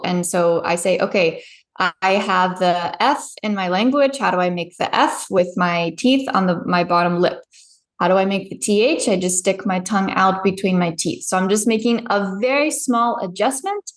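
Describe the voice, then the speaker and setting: A woman pronunciation coach explaining her methods in a chatty, conversational way. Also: medium pitch. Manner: chatty, explanatory, laughs easily. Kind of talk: conversational interview. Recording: roomy echo.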